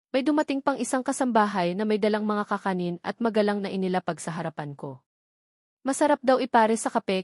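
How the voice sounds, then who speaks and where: Woman, Filipino accent, scripted romance audiobook narration, mid pitch, close dry sound. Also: a little mellow, no room sound. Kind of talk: scripted first-person narration of a romance novel.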